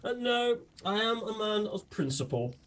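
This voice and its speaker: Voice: mid-range voice, sing-song, moderate pace, fairly bright tone. A performer playing an eccentric, gluttonous, unhinged detective in a comic radio play, grandiloquent, with a British accent. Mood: pompous, rambling. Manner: grandiloquent, theatrical.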